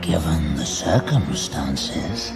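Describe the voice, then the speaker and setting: An actor playing a sly, defiant orc warlock in a fantasy audio drama, gravelly, measured and mocking. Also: male voice, a bass voice, lively intonation, music in the background, full character voice. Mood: quiet defiance.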